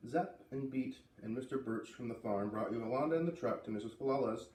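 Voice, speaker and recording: A man's voice: mid-range voice, a little slow, darkish tone. A man hosting a read-aloud, narrating a children's book. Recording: echoey room, distant mic, faint noise.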